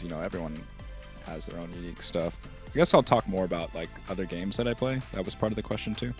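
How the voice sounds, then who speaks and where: Low-voiced hesitant man. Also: a little mellow. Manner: hesitant, halting. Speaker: guest. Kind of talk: casual interview chat.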